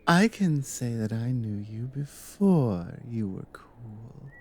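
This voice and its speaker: A woman acts out a devoted Nordic adventurer wife in an intimate ASMR roleplay. Her voice is soft, breathy and mellow, slipping often into whispers. She takes her time, teasing fondly, over faint background noise. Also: rumbling low, full character voice. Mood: playful teasing. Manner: teasing, unhurried, affectionate.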